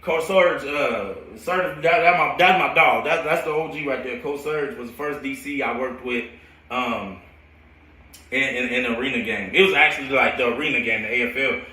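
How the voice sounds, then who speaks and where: An American man who coaches football, telling stories casually and in an animated, slangy, rambling way on a live stream. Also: mid-range voice, moderate pace. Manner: animated, slangy, laughs often. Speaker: football coach hosting a storytelling show. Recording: roomy echo, distant from the mic.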